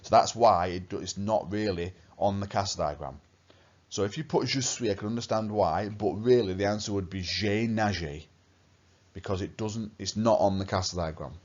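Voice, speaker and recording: A man from England teaching a lesson over slides, patient and methodical, often repeating his guiding questions. His voice sits very low. The recording sounds dull and lo-fi. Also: normal speed, a little mellow. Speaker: French teacher.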